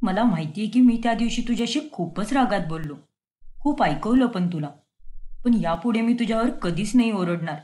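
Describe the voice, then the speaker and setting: A woman's voice: mid-range voice, animated, normal speed, warm tone, voice pushed down, lightly acted. A woman voicing a harried young man caught between two women, pleading and exasperated.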